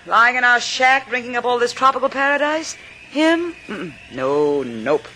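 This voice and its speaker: Bitter, seductive wife, sharply bright and swooping. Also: female voice, a little high, animated, measured pace. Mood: taunting, bitter. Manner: theatrical, taunting, emotional. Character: bitter, seductive, reckless wife stranded on an island. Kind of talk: 1950s radio drama acting. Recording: slightly noisy old radio recording.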